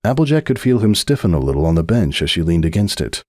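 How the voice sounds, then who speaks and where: A man's voice: low-pitched, sing-song, fast, darkish tone, dry recording, studio quality. A man reading a story aloud as its narrator, in a scripted, dramatic storytelling style.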